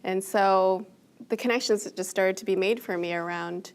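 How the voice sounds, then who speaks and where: A woman's voice: medium pitch, steady tone, normal speed, smooth. An American woman from Boston answering as an interview guest, explaining things reflectively in a conversational way. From Boston, United States.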